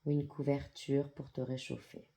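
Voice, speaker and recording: A yoga nidra guide with an androgynous voice leads a guided meditation in a calm, soothing, measured manner with long pauses. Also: medium pitch, gravelly. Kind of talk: guided meditation, soft instructional narration.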